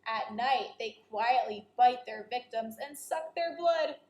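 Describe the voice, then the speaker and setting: A woman's voice: on the high side, measured pace, a little mellow, roomy sound. A woman teacher reading a children's story aloud, expressively and speaking directly to her young listeners.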